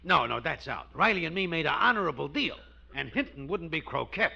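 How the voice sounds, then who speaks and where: A man's voice: fairly high pitch, big pitch swings, brisk, vocal fry, pushed and bright, lo-fi sound, heavily acted. An actor playing a cranky, scheming working-class pal who mangles his words, in a 1940s radio comedy. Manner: combative, wisecracking.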